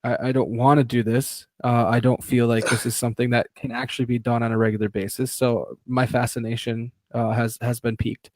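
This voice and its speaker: A man co-hosting a podcast in casual live-stream chat, often halting and restarting his sentences and swearing casually. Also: mid-range voice, level tone, a little mellow, no room sound. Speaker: podcast co-host.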